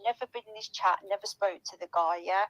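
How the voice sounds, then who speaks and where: A woman's voice: medium pitch, a little fast, low-quality recording. A woman chatting casually and gossipily in a rambling, conversational way.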